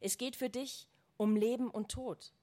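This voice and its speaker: A woman's voice: a little high, steady tone, measured pace, mellow, lightly acted. Woman reading as a firm, insistent friend. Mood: firm urging. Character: firm, militant woman friend urging her on to war.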